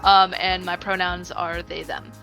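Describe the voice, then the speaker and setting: A woman's voice: fairly low pitch, level tone, unhurried, vocal fry, bright, forceful tone, faint music behind. A woman with a typically feminine voice, speaking earnestly on a panel. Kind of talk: casual panel talk.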